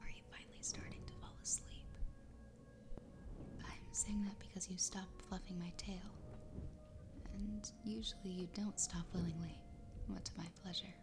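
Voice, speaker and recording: A woman voicing an obsessively loving fox girl wife in a soft, breathy, tender ASMR roleplay whisper. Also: medium pitch, level tone, lightly acted. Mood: tender, soothing. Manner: tender, slow, intimate. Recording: close whisper over background music. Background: music.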